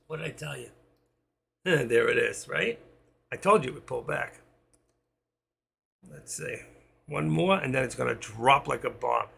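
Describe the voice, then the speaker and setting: A man hosting a live trading stream, talking to viewers while he watches the charts, with quiet asides between his sign-offs. He is losing his voice. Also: a little high, creaky, slightly rough. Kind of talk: live market commentary to viewers.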